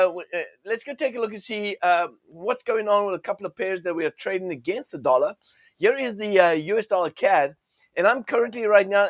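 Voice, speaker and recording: A man with a cold, his voice a little croaky, hosting a chatty forex chart session with lots of fillers and restarts. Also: sits high, dry recording. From United States. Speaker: forex trading show host. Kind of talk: casual chart commentary.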